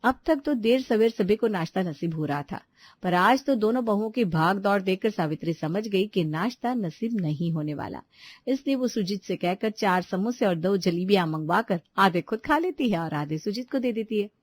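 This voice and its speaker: A woman's voice: medium pitch, animated, normal speed. A woman reading scripted story narration as a storyteller.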